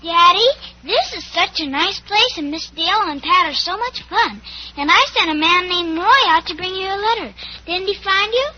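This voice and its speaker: A woman's voice: very high-pitched, expressive, normal speed. An actress playing a chatty, innocent little girl in an old-time radio drama, with an American accent and artless manner. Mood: trusting, chatty. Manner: childlike, artless, earnest. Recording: muffled old radio recording with hum.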